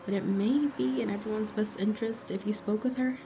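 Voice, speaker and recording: A woman's voice: medium pitch, steady tone, brisk, vocal fry, music in the background, close to an everyday voice. A woman acting a suspicious, wry police investigator in an audio drama, matter-of-fact and dry.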